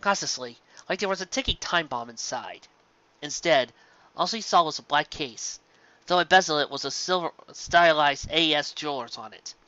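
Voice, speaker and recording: Fan-fiction narrator, bright, forward tone, scripted storytelling, somewhat muffled lo-fi home recording. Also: mid-range voice, animated, moderate pace, no room sound.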